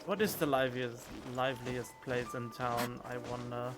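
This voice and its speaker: A woman's voice: a bass voice, steady tone, normal speed. A woman giving casual, playful playthrough commentary as a game streamer, full of joking asides. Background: game music.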